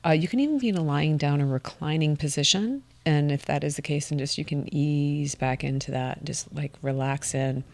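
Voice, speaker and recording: A middle-aged woman co-hosting a wellness podcast, calmly guiding a breathing exercise and often dropping toward a whisper as she counts. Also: low voice, creaky. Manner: soft-spoken, drops toward a whisper while counting. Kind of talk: calm guided breathing instruction.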